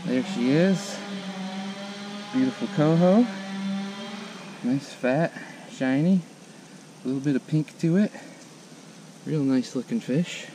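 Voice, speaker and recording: A man's voice: mid-range voice, takes it slowly, long pauses. A man vlogging while wading in a river, chatting casually and cheering when the fish bite, recorded on a head-mounted camera. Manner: excited, chatty, bursts of cheering. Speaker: angler vlogger. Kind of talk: casual narration while fishing. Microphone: head-mounted action camera. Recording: noisy outdoor action camera audio.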